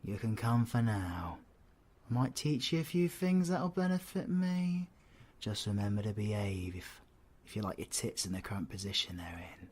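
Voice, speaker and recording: Man acting a husky, cocky British supervillain. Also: fairly low pitch, big pitch swings, normal speed, darkish tone. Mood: flirty, threatening. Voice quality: husky, breathy. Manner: sardonic, slangy, swearing, often dropping to breathy whispers. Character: cocky, menacing, flirtatious male supervillain antihero. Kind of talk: scripted audio roleplay, ASMR drama.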